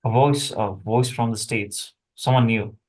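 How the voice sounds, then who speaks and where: A man acts out a weary assassin in a script read. His voice sits at an ordinary male pitch. He talks conversationally and haltingly, staying wry under threat. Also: steady tone, close to an everyday voice. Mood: defensive. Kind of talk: screenplay table read acting.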